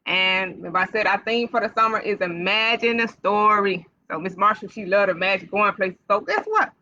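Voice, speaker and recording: A woman hosting storytime for young children, reading aloud in an animated, sing-song way and putting questions straight to the kids. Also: mid-range voice, normal speed. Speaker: library storytime host for children. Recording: dull, lo-fi livestream sound.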